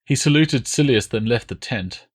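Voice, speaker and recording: A male audiobook narrator reads historical fiction at a middle pitch. The delivery is scripted storytelling, moving through scene and description. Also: lively intonation, normal speed, breathy edge.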